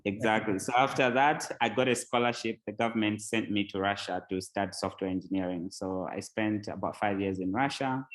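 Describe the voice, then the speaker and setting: A man from Zambia, a tech company founder, talking casually as a podcast guest, relaxed and rambling with many fillers. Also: fairly low pitch, a little fast, hardly any pauses, low-quality recording.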